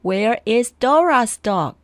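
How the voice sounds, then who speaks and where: A woman reading listening-test questions in a slow, deliberate way. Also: fairly high pitch, lively intonation, no echo. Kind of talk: formal exam questions.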